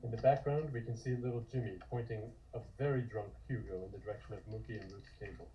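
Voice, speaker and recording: A man's voice: lowish voice, fairly even intonation, quick pace, muffled recording, slight background noise. A man reading scripted narration and stage directions.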